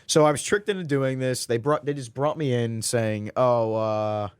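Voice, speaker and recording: A man's voice: medium pitch, normal speed, clear, resonant tone, dry recording. A young man hosting a college radio show in a studio, rambling in a self-mocking way and laughing often. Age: young adult. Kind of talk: casual radio chat.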